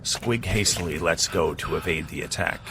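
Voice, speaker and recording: A man with a very deep voice narrates an action story in steady, measured tones. Clear background noise sits under his voice. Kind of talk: scripted second-person narration.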